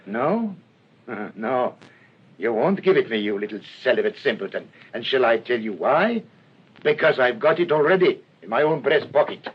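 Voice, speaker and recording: A smooth-talking thief, muffled recording. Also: male voice, medium pitch, animated. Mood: smug, mocking. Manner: measured, condescending. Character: smug, mocking master thief posing as priest. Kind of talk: radio drama acting. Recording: muffled recording with faint noise.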